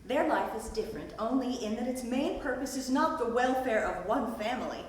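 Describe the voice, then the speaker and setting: A woman playing an earnest founder of a rural folk school in a stage play, narrating in a deliberate, declamatory way. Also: on the high side, expressive, lightly acted. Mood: proud, earnest. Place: stage performance at a festival. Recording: echoey hall, distant mic, noisy.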